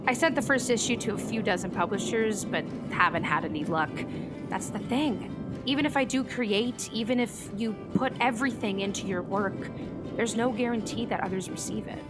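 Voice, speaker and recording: A woman's voice: mid-range voice, moderate pace, over loud music, lightly acted. A woman acting in a radio play as a thoughtful meteorologist, speaking in a natural, conversational storytelling way. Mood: earnest, disillusioned. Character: thoughtful female meteorologist and hobby comic illustrator.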